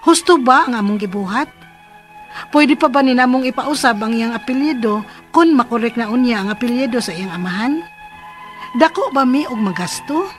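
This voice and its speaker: A troubled young wife , acted by a woman, pleads earnestly in a high voice with a Cebuano Filipino accent. It is radio drama delivery, part dialogue and part letter narration, over a music bed. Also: lively intonation, normal speed, close to an everyday voice. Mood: troubled, pleading. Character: troubled pregnant young wife seeking advice.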